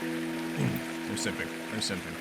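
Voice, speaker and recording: A man's voice: deep voice, sing-song, takes it slowly, creaky, low-quality recording. A man doing playful let's-play commentary, joking and self-deprecating. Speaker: gaming streamer. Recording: game music behind the voice.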